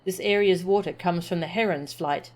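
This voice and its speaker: A woman's voice: on the low side, normal speed, a little mellow, lightly acted. A woman voicing a grateful village woman who trades fish with her husband, speaking earnestly and formally.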